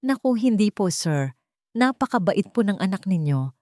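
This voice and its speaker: A woman voicing a gentle, humble young nanny in an audio story, soft and respectful. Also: mid-range voice, very animated, moderate pace, no room sound, clean studio sound, close to an everyday voice. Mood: polite.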